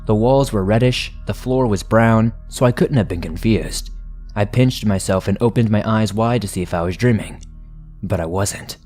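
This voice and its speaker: A man narrating listener-submitted horror stories in the first person, in a steady, measured reading. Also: fairly low pitch, soft background music.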